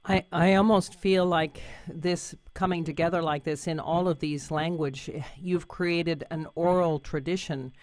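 A woman hosting a radio interview, soft-spoken and encouraging, with many murmured replies. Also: fairly low pitch, steady tone, a little slow, few pauses, no echo. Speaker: radio host.

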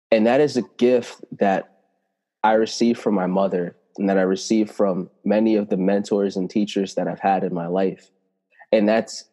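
A man whose first language is Italian, telling personal spiritual stories in an earnest, reflective way, slowing down to stress key words. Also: sits low, dark tone, long reverb tail. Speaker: spiritual teacher and healer.

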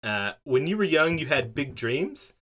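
A man acting as game master for a tabletop game, explaining the rules casually with lots of fillers and quick laughs. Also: on the high side, slight background noise. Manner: lively, laughs easily, many fillers. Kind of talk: running a tabletop game session.

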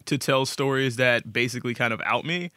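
A man's voice: medium pitch, a little fast, sharp tone, smooth, no room sound, clean studio sound. A man speaking as a guest storyteller in a casual interview, candid and informal, with a self-deprecating way of talking.

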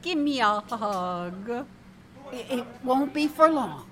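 An actress playing a sharp-tongued, harried woman in a radio comedy, sarcastic and exasperated. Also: fairly high pitch, expressive, slow. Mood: grateful, rueful. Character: wry, harried woman, broke and sharp-tongued bar worker. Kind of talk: radio comedy drama acting.

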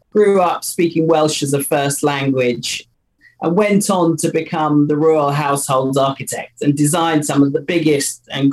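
A man's voice: on the high side, low-quality recording. A man, co-founder of a company, answering interview questions in an enthusiastic, loose way with many fillers.

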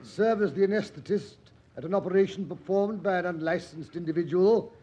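An actor in an old-time radio drama playing a stern, indignant tribunal prosecutor with a formal, accusing, declamatory delivery. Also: male voice, high voice, fairly quick, dark tone, husky.

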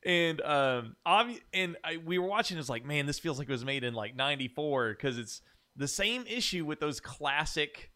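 A man's voice: on the high side, lively intonation, moderate pace, pushed and bright. A man hosting a podcast, chatting casually about films. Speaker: podcast host. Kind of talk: casual film chat.

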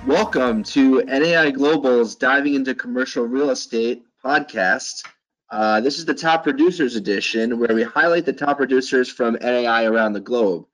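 A man's voice: mid-range voice, normal speed, a bit bright, poor audio. A man hosting a business podcast, giving an upbeat welcome and reading out his guest's background. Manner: upbeat, reads a guest bio aloud. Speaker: podcast host. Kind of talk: podcast introduction and interview.

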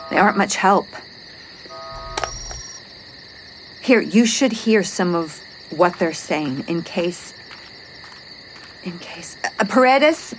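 A woman's voice: fairly low pitch, slight background noise, natural voice, rough recording. An actress playing a stressed, weary space mission officer who is protective of her crew, in an audio drama. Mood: worried, weary. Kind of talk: audio drama acting, logged report monologue.

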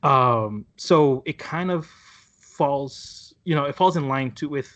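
A man chatting casually about a TV show, rambling and halting with many restarts and fillers. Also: medium pitch, fairly bright tone. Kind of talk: casual chat reviewing a TV show.

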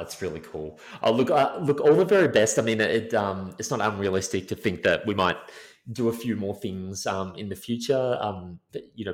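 A man hosting a podcast, rambling and friendly in a casual interview. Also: fairly low pitch. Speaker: podcast host.

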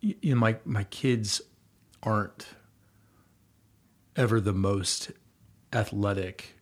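A man's voice: on the low side, takes it slowly. A middle-aged man talking casually and earnestly, rambling with fillers and restarts as he talks about coaching his kids. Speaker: guest and volunteer girls' basketball coach. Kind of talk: casual conversation.

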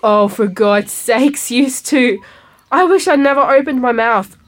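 Exasperated schoolgirl, high-ish. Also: a little slow. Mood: fed up. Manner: exasperated, sighing. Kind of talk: comic audio drama dialogue.

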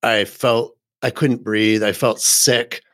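A man hosting a podcast for lawyers, telling a story in a conversational, animated way. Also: medium pitch, slow pace, no echo, clean studio sound.

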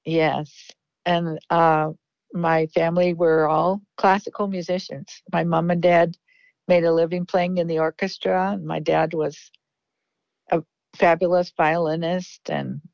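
A woman's voice: fairly low pitch, steady tone, unhurried, gravelly, darkish tone, no echo, muffled recording. A woman from Iowa, a guest musician, answering interview questions in an upbeat, reminiscing way.

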